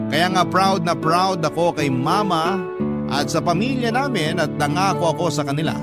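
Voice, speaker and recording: A man reading a scripted first-person story as a radio narrator, in an earnest, sentimental way. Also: on the high side.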